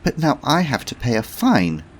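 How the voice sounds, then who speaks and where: A man's voice: medium pitch, huge pitch swings, slight background noise, voice pushed up. A man voicing a shy young woman who speaks hesitantly. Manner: hesitant, halting. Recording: roomy echo.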